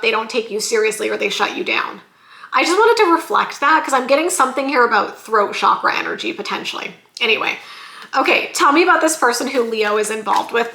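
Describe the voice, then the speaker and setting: A woman reading tarot in a chatty, sassy way, often saying "you guys," with a slightly hoarse voice. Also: on the high side, pushed and bright, roomy sound. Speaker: tarot reader. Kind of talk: casual tarot reading vlog.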